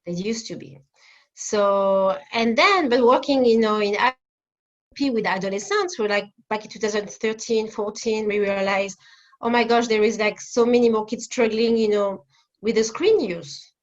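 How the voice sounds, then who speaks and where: A woman's voice: medium pitch, steady tone, normal speed, dull audio. A woman in her forties from France with a French accent, a guest therapist answering interview questions with many fillers and restarts over a video call.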